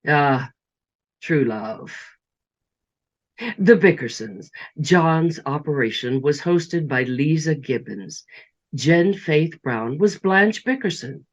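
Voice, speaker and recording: A man's voice: on the high side, animated, slow pace, muffled recording. A man as announcer giving a wry closing narration and reading the credits.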